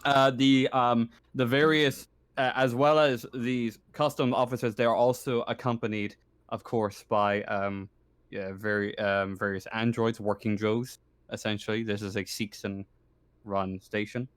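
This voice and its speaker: A man narrating a tabletop roleplaying game as game master, improvising in a halting way and often rephrasing himself. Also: mid-range voice, little pitch movement, clear, resonant tone, no room sound.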